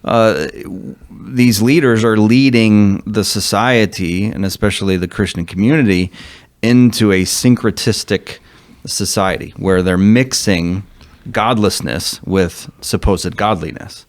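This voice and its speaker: Pastor, male, very low voice, earnest, searching for words, dry studio sound. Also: unhurried, no echo. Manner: earnest, thinks aloud with many fillers. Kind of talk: discussion guest.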